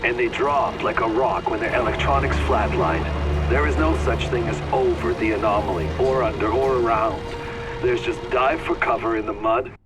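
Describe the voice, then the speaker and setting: Man playing a measured, wry game scientist. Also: medium pitch, heavy background noise, lightly acted. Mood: grave. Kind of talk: video game cutscene acting.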